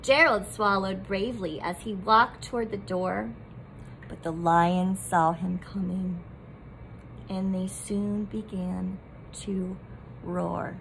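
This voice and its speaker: A woman reading a children's story aloud in expressive, dramatically phrased narration. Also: mid-range voice, takes it slowly, vocal fry, a little hiss or hum, rough recording. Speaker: storybook reader.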